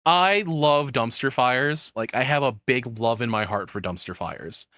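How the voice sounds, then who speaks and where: A man's voice: medium pitch, measured pace, bright, forceful tone, no echo, studio quality. A man giving casual, opinionated commentary, rambling and swearing bluntly as he goes. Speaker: streamer reacting to a video.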